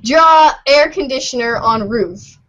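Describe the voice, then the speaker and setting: A woman with a notably high voice narrates a script in a playful, mock-serious way. The sound is a little dull, like a homemade reader's theater recording. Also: lively intonation, a little slow, fairly bright tone. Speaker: narrator, regular of the show. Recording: muffled lo-fi home recording.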